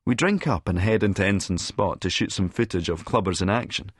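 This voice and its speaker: A man's voice: sits low, a little fast, husky, dry recording, clean studio sound. A man playing a cynical middle-aged Scottish schemer who narrates in a verbose, sardonic, lecturing manner. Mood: mocking, amused. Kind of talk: first-person audiobook narration with dialogue.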